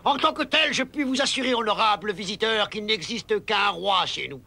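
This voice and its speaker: An actor playing a pompous, fawning high priest who serves a king in a cartoon. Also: normal speed, vocal fry, pushed and bright, exaggerated voice. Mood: haughty. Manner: pompous, obsequious, with nervous chuckles. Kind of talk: French cartoon dub acting.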